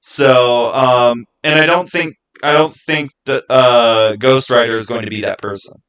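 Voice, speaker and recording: A man with a bright, forceful voice at an average pitch hosts a casual livestream. He talks informally and with plenty of opinion, halting and circling back over his own phrases as he responds to viewers. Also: steady tone, clear, resonant tone. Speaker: livestream host. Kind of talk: casual livestream chat answering viewer comments.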